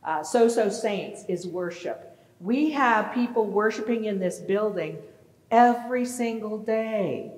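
A middle-aged woman pastor preaching a sermon in a large church sanctuary, animated and questioning her congregation. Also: medium pitch, slow pace. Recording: echoey church with long reverb.

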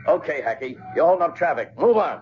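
High-pitched, blunt actor as a traffic cop. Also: male voice, animated, fast, faint music behind, close to an everyday voice. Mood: brusque. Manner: blunt, slangy. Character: gruff, wisecracking male traffic cop. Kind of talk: 1970s radio drama acting. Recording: dull restored radio broadcast.

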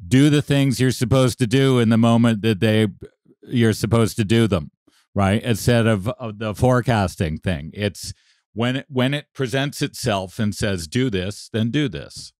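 Man, low voice, co-host, casual talk, dry close sound. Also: unhurried, darkish tone, no echo. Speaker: podcast co-host. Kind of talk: casual podcast chat.

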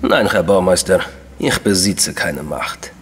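An actor playing an enigmatic Russian official in a crime radio drama, courteous, deliberate and coolly menacing. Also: male voice, deep voice, lively intonation, big-room sound, a little hiss or hum. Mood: courteous, guarded.

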